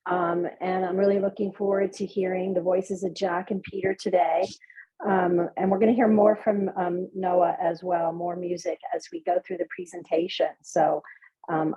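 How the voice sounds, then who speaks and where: A woman hosting an online event, speaking informally and haltingly with many ums as she introduces the program. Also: on the low side, mellow, husky. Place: live online video meeting. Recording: muffled, lo-fi video call audio.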